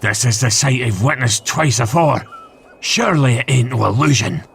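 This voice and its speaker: A man's voice: mid-range voice, moderate pace, vocal fry, a bit bright, slight background noise, pitched above the performer's own voice. A man voicing a fearful, superstitious farmer with old-fashioned rustic talk in a comic dub. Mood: wary.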